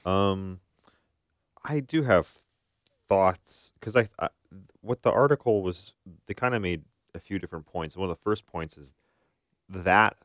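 Deep-voiced American man in casual chat. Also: measured pace, long pauses, no echo, clean studio sound. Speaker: podcast co-host and practicing therapist. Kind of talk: casual conversational podcast chat.

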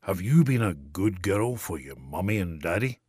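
A man voicing a jovial middle-aged man playing Santa in a deeper, rumbling, kindly voice. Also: big pitch swings, normal speed, a little mellow, breathy edge, no room sound, studio quality, exaggerated voice.

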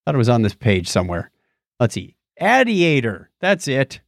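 A man's voice: mid-range voice, huge pitch swings, normal speed, vocal fry, dry recording. Middle-aged man chatting, close dry sound. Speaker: podcast co-host. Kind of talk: casual chat about old gadgets.

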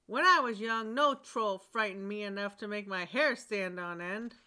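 A woman voicing a boastful, scornful farmer father in a storybook reading, raising her pitch for him. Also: moderate pace, bright, forceful tone, clear, resonant tone, lightly acted. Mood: scoffing.

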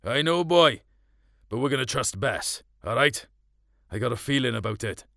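A man voicing a gruff, blunt, determined middle-aged farmer father in an audio story. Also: medium pitch, animated, moderate pace, pushed and bright, no echo, studio quality. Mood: urgent, determined.

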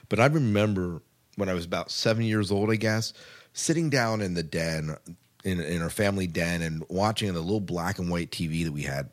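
A man co-hosting a podcast in a casual, informal way, often rephrasing himself as he chats. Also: low-pitched. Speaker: podcast co-host.

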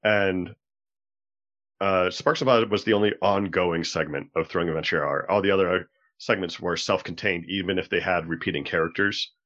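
A man talking casually as a podcast guest, halting and pausing mid-thought as he goes. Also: sits low, a bit bright. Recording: dull lo-fi audio.

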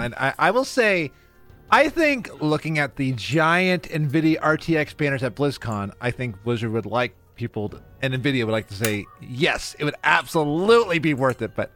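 A man's voice: medium pitch, fairly bright tone. Stammering man reading chat questions. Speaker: podcast co-host. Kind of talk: casual gaming chat.

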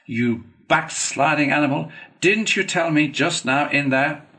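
A man voicing a grave, slow-spoken storybook badger. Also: mid-range voice, expressive, a bit bright. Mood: scandalized. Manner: grave, eloquent, admonishing. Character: stern, solemn older male badger, lecturing and fatherly. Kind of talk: storybook character reading.

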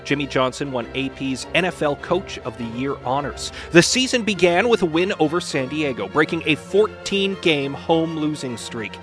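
A man's voice: fairly high pitch, animated, normal speed, few pauses, music in the background. A man speaking as a podcast co-host. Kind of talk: casual podcast banter.

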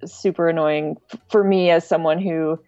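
A woman's voice: low-pitched, fairly even intonation, moderate pace, mellow, no room sound, soft background music. A woman speaking casually as a guest in a podcast interview, on a slightly lo-fi recording. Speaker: podcast guest, online music archive director.

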